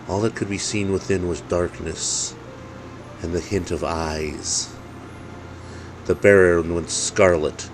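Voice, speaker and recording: Male narrator, very low voice, slow and solemn scripted reading of a dark holiday story, light hum of noise behind. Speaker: author reading own story.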